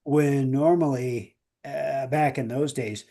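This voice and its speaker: Middle-aged American man swapping stories, medium pitch. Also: steady tone, takes it slowly, warm tone. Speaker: musician. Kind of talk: casual chat, anecdotes.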